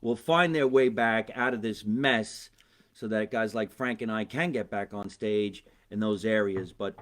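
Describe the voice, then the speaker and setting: A man's voice: lowish voice, a little slow, a bit bright. A man hosting a podcast as a stand-up comedian, chatting casually and joking in a chummy way with his guest. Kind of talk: casual chat interview.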